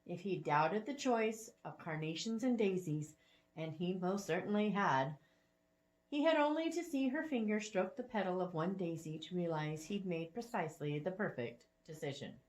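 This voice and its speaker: A woman narrating a story aloud in an expressive, animated read-along style, with dramatic pauses. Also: lowish voice, low-quality recording. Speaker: author reading own story aloud.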